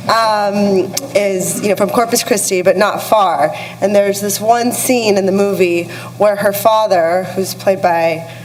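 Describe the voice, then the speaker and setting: A woman from Texas speaking as a panelist at a live event, reflective and pausing often to restart her thoughts. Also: medium pitch, darkish tone, slight background noise, low-quality recording. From El Paso, Texas. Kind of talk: panel discussion.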